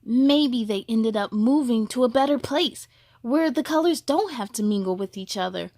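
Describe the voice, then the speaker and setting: A woman's voice: fairly high pitch, expressive, normal speed, darkish tone, dry recording. Woman as grumbling orange square, storybook character, smug, dismissive, complaining, dry close sound.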